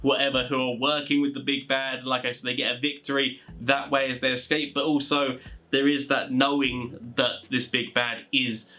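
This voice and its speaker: A man's voice: medium pitch, little pitch movement, normal speed, pushed and bright. A man giving informal gaming advice in a rambling, conversational way, often leaning on stock phrases. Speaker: tabletop gaming advice presenter. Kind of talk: informal explainer talk.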